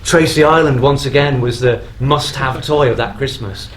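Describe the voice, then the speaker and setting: Man, mid-pitched, measured convention panelist, light noise in the live recording. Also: steady tone. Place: on stage at a fan convention. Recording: slightly noisy live event room.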